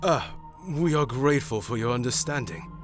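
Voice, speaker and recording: A man's voice: mid-range voice, animated, moderate pace, music in the background, lightly acted. A man playing an earnest, deferential alien aide in a science fiction audio drama, polite and formal in his speech.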